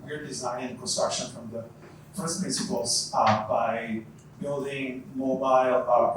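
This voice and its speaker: A man from Croatia introduces himself on a panel, speaking haltingly and often filling pauses. He sits well back from the microphone in a very echoey room. Clear background noise runs under his voice. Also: medium pitch, far from the mic. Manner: halting, many fillers. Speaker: startup founder on panel. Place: event venue.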